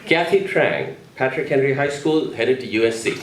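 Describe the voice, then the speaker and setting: A man's voice: mid-range voice, expressive, normal speed. A man presenting at an awards ceremony, announcing the names of recipients to an audience in a hall. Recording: echoey hall, distant mic, crowd noise.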